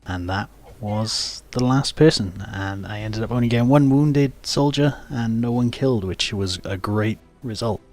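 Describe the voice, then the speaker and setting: A man's voice: lowish voice, unhurried, hardly any pauses, a little mellow, soft background music. A man giving recap commentary over a video game as host of the video.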